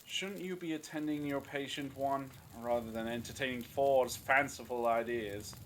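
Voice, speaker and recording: A man's voice: mid-range voice, normal speed, a bit bright, slight background noise, natural voice. A man playing a grumpy, sarcastic captive with a cutting manner in an audio drama. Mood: irritable. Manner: scornful, irritable, cutting. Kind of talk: fan audio drama acting.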